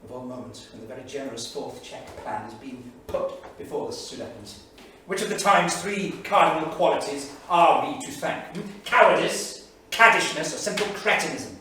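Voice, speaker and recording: A man's voice: on the high side, animated, moderate pace, hardly any pauses, sharp tone. Man acting scornful 1930s writer, distant and echoey. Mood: bitter irony. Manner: sardonic, emphatic, literary declamation. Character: anguished middle-aged male English writer, war veteran, scornful diarist. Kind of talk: staged reading of dramatised diary. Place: small theatre studio. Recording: echoey theatre, distant mic, faint noise.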